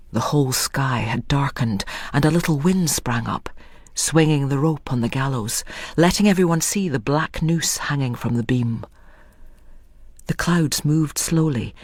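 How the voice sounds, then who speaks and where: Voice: mid-range voice, husky, no echo. A storyteller reading a scripted dramatic story as narration.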